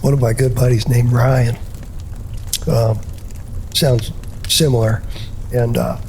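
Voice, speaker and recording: Raspy, hoarse male musician guest, relaxed storyteller, mid pitch, faint background noise. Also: slow pace. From Rhode Island, US. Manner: easygoing, rambling, laughs often. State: hoarse from a cold. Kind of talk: casual podcast chat.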